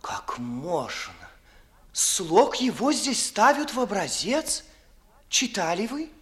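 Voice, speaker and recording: High, fawning young clerk. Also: male voice, huge pitch swings, slow pace, full character voice. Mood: reverent. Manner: deferential, mincing, unctuous. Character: obsequious, cautious young clerk, sly flatterer. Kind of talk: 1950s classical radio theatre acting in verse.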